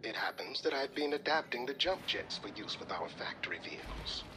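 Casting: actor for cerebral male military technologist, calm and matter-of-fact, formal diction, video game. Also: mid-range voice, brisk, noisy background, lightly acted. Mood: businesslike. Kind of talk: video game cutscene acting.

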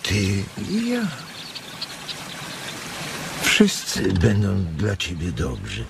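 An actor playing a weary, protective, sorrowful farmhand in a radio drama, speaking in a halting, tender way. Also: male voice, low voice, huge pitch swings, vocal fry, crowd noise, full character voice. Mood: tender, sad. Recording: noisy nature ambience behind.

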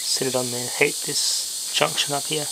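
Noisy handheld camera audio with clicks, recorded indoors. An Australian man gives a casual hands-on demonstration at a middling pitch. He talks offhand as he works, half to himself. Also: level tone, moderate pace, creaky. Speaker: hobbyist video maker. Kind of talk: casual hands-on demonstration, talking while working. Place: indoors at home, working by hand. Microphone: handheld camera microphone.

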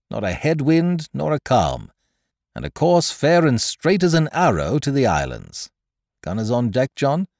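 A man voicing a merry, breezy gentleman sea captain in an audiobook adventure, hearty and full of exclamations. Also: fairly low pitch, dry recording, clean studio sound, voice pushed up.

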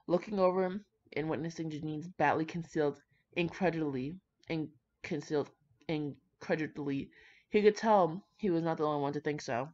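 Young adult woman, low register, informal fan fiction reader who trips over words; dull home recording. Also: moderate pace, a little mellow. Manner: informal, slangy, swearing, stumbles over words while reading. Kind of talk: reading fan fiction aloud with casual, chatty asides.

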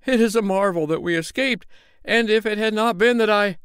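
A man voicing a small, boastful, jumpy tailor in a storybook reading, shrill and excitable. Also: a little slow, clean tone, dry recording, clean studio sound. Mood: frantic.

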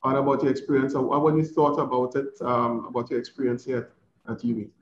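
Halting older man in a roomy office. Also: medium pitch, fairly even intonation, dull audio, a little hiss or hum. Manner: halting, conversational. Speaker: university principal hosting a discussion. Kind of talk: informal moderated conversation. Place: office, indoors. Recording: roomy office sound, faint music bed.

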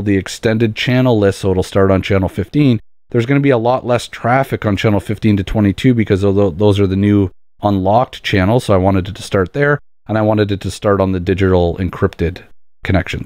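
A man's voice: fairly low pitch, a little mellow, no room sound, studio quality. A man hosting an instructional tutorial, explaining things step by step in an informal, plain-spoken way. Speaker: tutorial host. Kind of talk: casual instructional demo, step-by-step.

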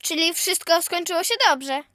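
A woman's voice: very high voice, moderate pace, bright, forceful tone, dry recording, clean studio sound. A woman voicing a curious, earnest little granddaughter in a children's radio play.

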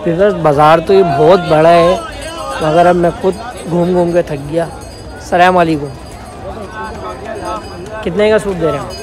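A man's voice: on the high side, normal speed, long pauses, music in the background, crowd noise. A man with a Karachi Urdu accent vlogging in a busy outdoor street market, chatting with sellers and asking prices. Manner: chatty, friendly greetings to sellers. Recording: noisy crowded market.

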